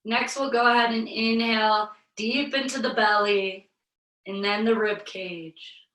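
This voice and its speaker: Yoga teacher lady, bit scratchy from a cold, slow calm cues, bright voice, roomy sound a ways off the mic. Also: a little high, far from the mic. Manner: slow, soothing, invitational. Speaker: yoga instructor. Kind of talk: guided yoga and breathing instruction. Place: home yoga space, virtual class.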